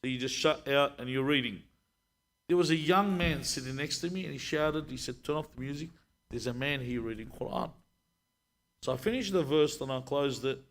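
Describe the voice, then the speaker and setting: A man's voice: mid-range voice, moderate pace, a bit bright. A man in his thirties, a sheikh, answering questions and telling stories in a live discussion. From Lebanon. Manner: conversational, storytelling, many restarts. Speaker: sheikh, guest speaker.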